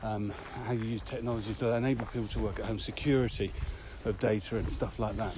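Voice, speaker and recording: Male podcast co-host, lower register, informal explainer, outdoor location with very strong background noise. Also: fairly even intonation, fast talker. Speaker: podcast co-host and virtual training consultant. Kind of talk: casual podcast conversation, explaining. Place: outdoors on a walk. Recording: very noisy outdoor recording, clicks and traffic.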